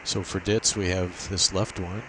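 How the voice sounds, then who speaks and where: A man's voice: deep voice, measured pace. A man giving an unscripted, methodical technical walkthrough, explaining a setup step by step. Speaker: amateur radio demonstrator. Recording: steady hiss and noise behind voice.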